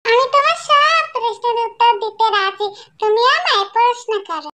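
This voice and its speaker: A woman's voice: very high voice, expressive, normal speed, pushed and bright, pitched above the performer's own voice, exaggerated voice. A woman voicing an innocent, orphaned little girl bird in a cartoon, with a tiny childlike, plaintive voice. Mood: eager, thoughtful. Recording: echoey, music underneath.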